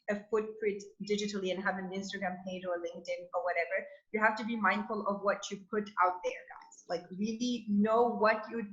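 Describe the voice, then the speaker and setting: A woman on a webinar panel giving an informal motivational talk, animated and blunt, peppering her sentences with "like". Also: mid-range voice. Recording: echoey room, dull lo-fi sound.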